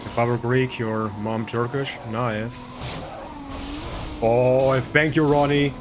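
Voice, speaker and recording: Male streamer, light German accent, mid-pitched, relaxed and chatty, loud racing game noise underneath. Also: slow. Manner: relaxed, chatty, greets and thanks viewers. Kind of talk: casual gaming commentary to chat. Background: racing game engine and traffic sounds.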